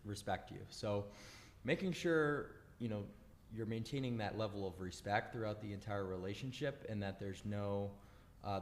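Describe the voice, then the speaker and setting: A man hosting a couple's session, giving his opinions in halting casual talk full of uhs and you knows. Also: fairly low pitch, big-room sound, slight background noise. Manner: halting, many uh and you know. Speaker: host giving advice.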